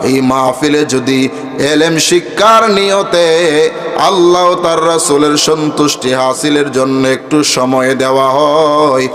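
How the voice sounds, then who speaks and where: A man with a Bangladeshi accent preaching an Islamic sermon in a melodic, impassioned chant, with drawn-out calls to his listeners. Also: on the high side, fairly even intonation, fairly bright tone, echoey room, big-room sound, faint music behind. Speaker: Islamic preacher at a religious gathering. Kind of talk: Bengali waz sermon, melodic chanting delivery.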